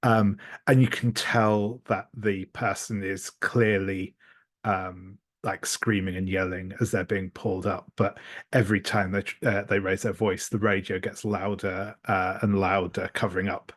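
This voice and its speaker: A man telling an improvised tabletop roleplay story, haltingly searching for words. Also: low-pitched, flat delivery, no room sound, clean studio sound. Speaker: tabletop game participant.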